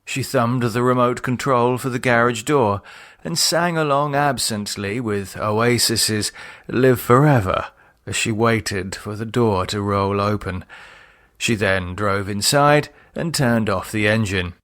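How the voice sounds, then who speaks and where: A man's voice: lowish voice, a little slow, a bit bright, dry recording, clean studio sound. A man giving a scripted, dramatic narration of fiction as an audiobook reader.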